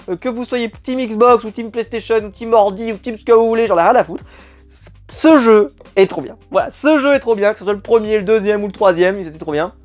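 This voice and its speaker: A man chatting to camera as a collectibles vlog host, enthusiastic and rambling, with many hesitant fillers. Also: sits high. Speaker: YouTube collector channel host. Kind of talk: casual unboxing chat to camera.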